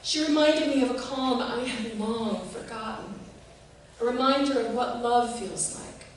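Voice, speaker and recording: A woman giving an expressive, measured reading of a literary piece, recorded in a reverberant room from a distance. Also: fairly high pitch. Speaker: reader of a short literary piece. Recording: echoey room, distant mic, faint noise.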